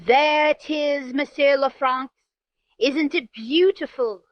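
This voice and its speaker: An actress playing a gracious, genteel society hostess in an old-time radio drama, polite and effusive. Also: female voice, high voice, slow pace, dry recording, lo-fi sound. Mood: gracious, delighted. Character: gracious, genteel wealthy hostess, easily shocked.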